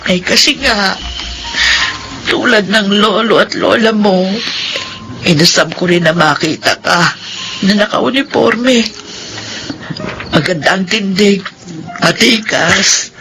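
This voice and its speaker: A proud, remorseful mother with a Filipino accent. She speaks gently and haltingly in a radio drama style, doting on her grown son. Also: mid-range voice, over music, poor audio. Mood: wistful.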